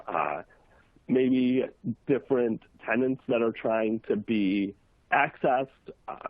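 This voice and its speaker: A man with a cold, sounding hoarse, giving a relaxed, conversational webinar talk on a video call with frequent fillers. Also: medium pitch, no room sound. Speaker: webinar presenter. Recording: tinny phone-like line, dry.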